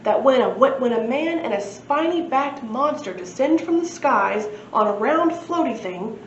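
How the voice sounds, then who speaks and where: A woman's voice: sits high, animated, a little slow, pitched above the performer's own voice. A woman reading a storybook, voicing a solemn fat man who leads an ancient temple, stately and declarative. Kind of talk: storybook read-aloud. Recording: echoey room, muffled, faint noise.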